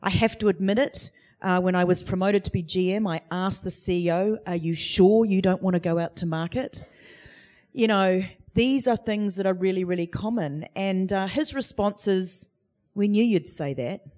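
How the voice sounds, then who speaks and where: A woman's voice: mid-range voice, moderate pace, a little mellow. A woman, a tech executive, talking earnestly and fluently about her career on a live panel. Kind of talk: personal reflection on a live panel. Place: live panel event before an audience.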